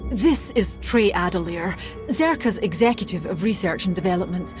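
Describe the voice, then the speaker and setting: An actress playing a self-assured imperial research officer in a science fiction game, formal yet wry and needling. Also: female voice, fairly low pitch, expressive, normal speed, close to an everyday voice. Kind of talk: video game cutscene acting. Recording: music behind the voice.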